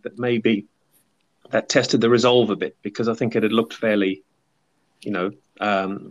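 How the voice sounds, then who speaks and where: A man, a podcast guest, chatting casually and rambling with many fillers and hedges. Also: medium pitch. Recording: dull lo-fi sound.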